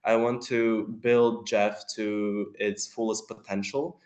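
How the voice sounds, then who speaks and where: A young man with a fairly low voice presents his candidacy over a video call. He speaks in an orderly, self-assured way. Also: flat delivery, slow pace, a little mellow. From Latvia. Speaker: election candidate. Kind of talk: campaign speech on a video call.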